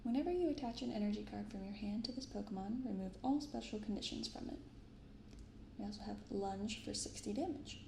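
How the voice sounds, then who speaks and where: Soft gentle asmr lady, bit of vocal fry, kinda roomy with some background noise. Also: medium pitch, expressive. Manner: gentle, unhurried reading and commentary. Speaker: card unboxing video host. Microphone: binaural microphone close up.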